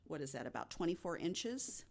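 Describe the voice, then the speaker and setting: A woman's voice: low voice, very animated, normal speed, vocal fry, a little mellow. A woman on a podcast panel recounting a story in casual, conversational speech.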